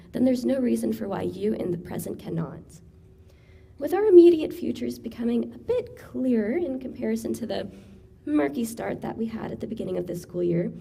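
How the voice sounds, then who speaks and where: A young woman with a raspy voice giving an earnest, encouraging graduation speech as class valedictorian. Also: a little high, mellow. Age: young adult. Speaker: valedictorian student speaker.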